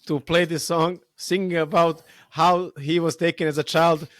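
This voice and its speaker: A man's voice: on the high side, level tone, no echo, clean studio sound. Chatty man who laughs a lot. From Serbia. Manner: laughs often, halting with restarts and fillers. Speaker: podcast host. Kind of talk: casual conversation.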